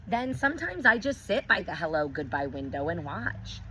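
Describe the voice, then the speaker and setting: A woman teacher reading a picture book aloud to young children, expressive, stopping to ask them questions. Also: fairly low pitch, normal speed, slight background noise, rough recording.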